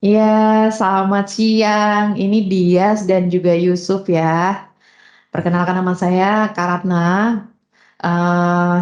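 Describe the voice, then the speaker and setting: A woman's voice: on the low side, dark tone, roomy sound, muffled recording. A young woman hosting a podcast interview, chatting casually with lots of fillers. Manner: chatty, many fillers and "gitu ya". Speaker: podcast host.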